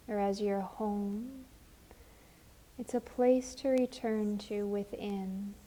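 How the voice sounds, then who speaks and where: A woman leading a guided meditation as a teacher, speaking gently and soothingly, with unhurried phrases and long pauses. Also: mid-range voice.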